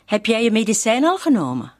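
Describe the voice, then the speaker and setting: Radio drama: fretful wife, highish lively voice, dry close sound, nagging yet caring. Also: female voice, brisk, dry recording. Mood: fretful concern. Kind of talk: Dutch-language radio drama acting.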